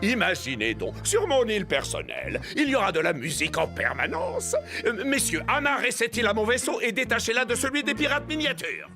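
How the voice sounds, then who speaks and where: A man voicing a pompous, greedy pirate captain in an animated cartoon, with grandiose, declamatory delivery. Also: very high-pitched, fairly quick, music in the background, full character voice. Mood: pompous, scheming. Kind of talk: animated cartoon dub acting.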